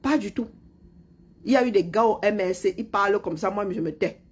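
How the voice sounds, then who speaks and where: A woman's voice: mid-range voice, brisk, darkish tone, breathy edge. A middle-aged woman, mockingly scolding a politician in a heated live-stream rant. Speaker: commentator replying to a politician.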